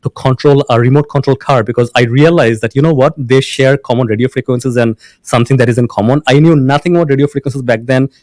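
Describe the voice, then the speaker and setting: A man's voice: medium pitch, steady tone, fast talker, darkish tone, no room sound. A man from Bangladesh, a founder being interviewed, who restarts often and uses many fillers. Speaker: founder and guest. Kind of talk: casual interview talk.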